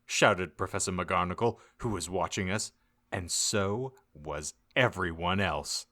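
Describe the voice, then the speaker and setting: A man with a fairly low, bright voice narrates a comic story. He takes his time and reads with mock-serious, theatrical swings in intonation. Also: unhurried. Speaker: podcast host reading an audiobook. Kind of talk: comic dramatic narration of fan fiction.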